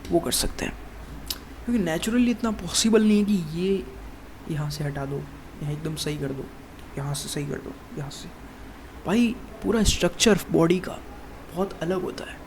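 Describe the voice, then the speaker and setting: A man's voice: on the high side, expressive, normal speed, long pauses, darkish tone, a little hiss or hum, low-quality recording. A man of twenty-one giving a casual, rambling monologue as a fitness vlogger, calling the viewer "bro" over and over and restarting his sentences. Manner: rambling, addresses viewer as "bhai" constantly, many restarts.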